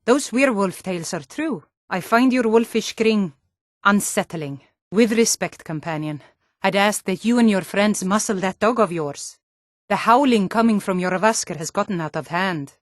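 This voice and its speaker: A woman's voice: medium pitch, moderate pace, no room sound, natural voice. Woman playing a blunt, tough Nord guardswoman, dry recording. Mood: wary, unsettled. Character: tough, wary Nord female city guard, plain-spoken. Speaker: video game voice actor. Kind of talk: scripted fantasy game dialogue in short standalone lines.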